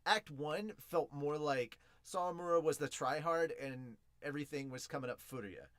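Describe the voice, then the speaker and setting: A man hosting a podcast in an excitable, informal, slangy way, chatting casually as he reviews and reads out comments. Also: fairly high pitch, normal speed, no room sound.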